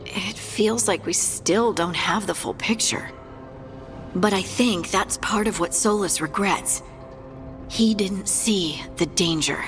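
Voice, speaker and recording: A woman's voice: medium pitch, slow pace, faint music behind, natural voice. Woman acting a hurt, resolute game heroine. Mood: somber, uneasy. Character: aggrieved dwarf woman, Lace, hurt and resolute. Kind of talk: video game cutscene voice acting.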